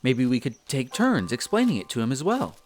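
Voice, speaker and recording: A man's voice: medium pitch, sing-song, fairly quick, close to an everyday voice. A man playing an earnest, bookish young elf apprentice in a roleplay audio drama, chatty and a little hesitant, easily flustered. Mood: thoughtful, nervous. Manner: hesitant, chatty, explains eagerly. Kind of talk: scripted roleplay audio drama addressed to the listener.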